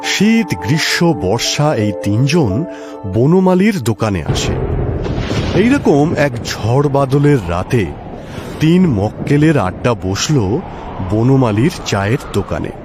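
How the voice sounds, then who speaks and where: A man's voice: medium pitch, very animated, unhurried. A man narrating a scripted ghost story in a dramatic storytelling style. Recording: music behind the voice.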